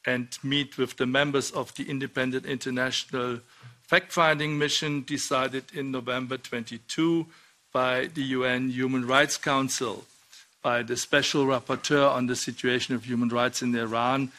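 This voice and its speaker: Older male chair, measured and formal, bright voice, desk mic in a large hearing room. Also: medium pitch, level tone. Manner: measured, deliberate, reading a prepared text. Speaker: committee chair. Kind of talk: formal opening remarks. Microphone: desk microphone.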